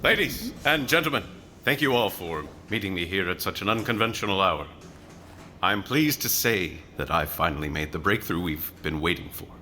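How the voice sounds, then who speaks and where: An actor playing a grandiose scientist giving a theatrical speech in an audio drama. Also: male voice, sits low, expressive, normal speed, bright, forceful tone, a little hiss or hum. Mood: grand, confident. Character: grandiose male scientist announcing his world-changing breakthrough. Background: music.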